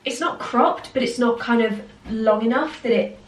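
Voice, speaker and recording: A woman's voice: a little high, normal speed. A woman with a cold and a nasal voice, chatting upbeat to camera at home as a home decor vlogger. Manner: chatty and upbeat, frequent little laughs. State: has a cold. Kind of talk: casual chat to camera. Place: at home, indoors. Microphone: handheld camera.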